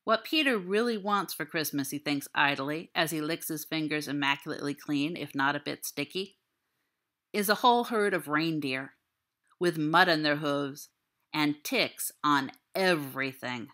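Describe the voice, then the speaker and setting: A woman's voice: low voice, moderate pace, a bit bright. A woman reading literary fiction aloud as a scripted narration. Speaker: audiobook reader.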